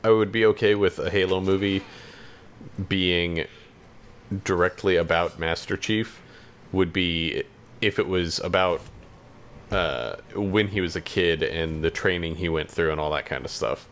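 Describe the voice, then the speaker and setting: A man's voice: sits low, a little slow, fairly bright tone, slight background noise. A man co-hosting a podcast in casual chat, speaking in a hesitant, rambling, hedging way. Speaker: podcast co-host.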